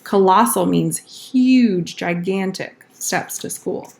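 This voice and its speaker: A woman reading a picture book aloud to young children as a kindergarten teacher, instructive, pausing to question and explain. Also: medium pitch, creaky, a little mellow.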